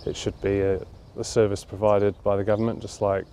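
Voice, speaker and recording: A man, speaking informally and thoughtfully, with halting phrases, in an interview. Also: fairly low pitch, level tone, mellow, slight background noise. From London, England. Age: 30s. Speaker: interviewee, charity cyclist. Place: outdoors at a leafy campground.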